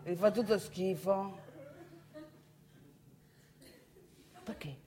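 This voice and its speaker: A woman's voice: mid-range voice, measured pace, lightly acted. A woman performing a comic stage monologue as a brash, grumbling provincial man with a northeastern Italian accent, colloquial, boastful and repetitive. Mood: teasing. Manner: colloquial, boastful, repeats phrases, addresses listeners directly. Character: brash, grumbling provincial young man chasing women in bars.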